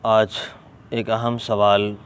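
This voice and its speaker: A man with a Pakistani accent explaining a religious ruling as an Islamic scholar, deliberate and emphatic, repeating key points. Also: level tone. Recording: clear background noise, ambient vehicle rumble.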